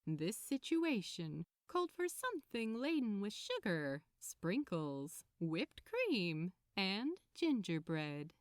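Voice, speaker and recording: A woman reading a fan fiction story aloud as an expressive narrator. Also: medium pitch, takes it slowly, hardly any pauses, dry recording, studio quality. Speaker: podfic narrator.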